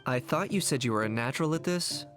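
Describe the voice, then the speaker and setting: A man voicing a cool, dry young man in an anime-style game, measured and deadpan as he directs others. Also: medium pitch, soft background music, close to an everyday voice.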